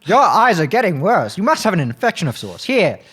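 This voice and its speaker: A man's voice: sits high, sing-song, fairly quick, heavy background noise. A man playing a tabletop roleplaying game, improvising comic roleplay and chatting casually.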